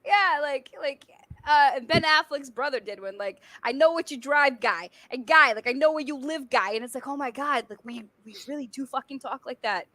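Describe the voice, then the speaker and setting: A woman from Massachusetts whose first language is Portuguese, speaking with a Boston accent. She tells stories in a lively, casual way with swearing and laughter. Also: high-pitched, normal speed, no room sound. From Massachusetts and the Azores. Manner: animated storytelling, frequent laughter and swearing. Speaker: guest, military veteran. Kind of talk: casual chat.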